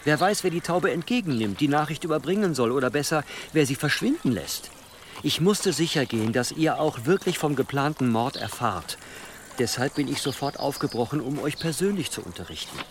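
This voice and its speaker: A man's voice: mid-range voice, expressive, fairly quick, a little hiss or hum, natural voice. An actor playing a loyal medieval envoy reporting formally and insistently to his lord in a radio drama. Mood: urgent, insistent.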